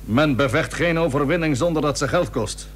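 A man playing an old, proud and plain-spoken Dutch admiral in a historical radio drama, formal, measured and firm. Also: fairly high pitch, lightly acted. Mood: measured, sceptical. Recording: noisy old radio recording with hum.

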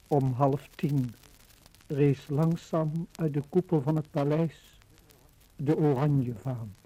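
A man's voice: fairly high pitch, fairly even intonation, takes it slowly, mellow. A man narrating a scripted 1950s radio documentary in a formal, solemn, declamatory way, on a noisy old recording.

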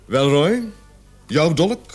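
An actor playing a calm, authoritative police sergeant in a radio play, speaking in a measured, deliberate way. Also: male voice, fairly high pitch, faint music behind, exaggerated voice. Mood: calm, grave.

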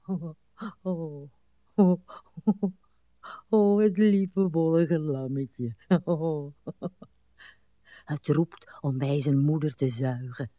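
An actress playing a weary, wistful old woman in a literary radio drama, speaking haltingly and pensively, with sighs. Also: low voice, warm tone, dry recording, studio quality. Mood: tender, wistful. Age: older. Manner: slow, halting, pensive delivery with drawn-out sighs. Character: weary, wistful, brooding old Irish countrywoman, a wife.

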